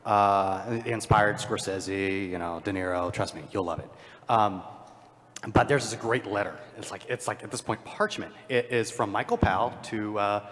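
A man's voice: fairly low pitch, moderate pace, a bit bright. A man on a live panel, chatting casually like a film buff. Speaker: podcast panelist. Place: large convention panel room with audience. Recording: echoey convention hall, live panel.

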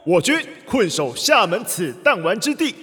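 Oily, high-pitched scheming informer. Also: male voice, normal speed, slightly rough, no echo, clean studio sound, full character voice. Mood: fawning, persuasive. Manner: oily, flattering, sneering. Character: scheming, sycophantic male informer with a silver tongue. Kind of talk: theatrical radio drama acting.

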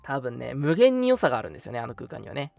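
A man's voice: medium pitch, huge pitch swings, rapid-fire, gravelly, darkish tone. A young man hosting a radio show in a casual, agreeable chat. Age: young adult. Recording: music playing behind the voice. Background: background music.

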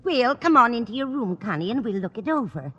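A woman's voice: a little high, sing-song, brisk, fairly bright tone, husky, long reverb tail, heavily acted. A woman playing a kindly, scatterbrained older landlady, fussy and apologetic, in a 1940s radio sitcom. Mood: fond. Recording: muffled, hollow old radio broadcast.

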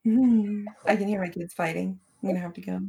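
A woman's voice: mid-range voice, steady tone, brisk, gravelly, mellow, a little hiss or hum. A woman hosting a casual chat, with a playful, teasing manner and dry jokes. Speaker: podcast host.

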